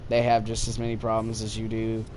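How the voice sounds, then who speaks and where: A man's voice: on the low side, monotone. A young man rambling casually and hesitantly through a personal story while he plays a video game. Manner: hesitant, halting, trailing off. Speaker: gaming video creator. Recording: clearly noisy amateur recording. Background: noise and video game sounds.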